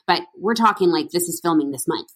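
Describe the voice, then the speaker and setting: A woman's voice: fairly low pitch, expressive, a little fast, husky, poor audio. A woman actress in casual conversation, telling stories with plenty of fillers and restarts. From Canada. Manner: chatty, storytelling, many fillers and restarts. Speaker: actress guest. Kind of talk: casual interview chat.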